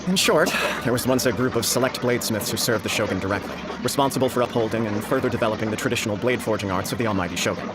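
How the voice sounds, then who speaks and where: A man playing a courteous young nobleman in a video game, speaking in a formal, diplomatic way over loud noise. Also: on the low side, close to an everyday voice. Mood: courteous, explanatory. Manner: formal, diplomatic, measured wording. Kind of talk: video game character acting.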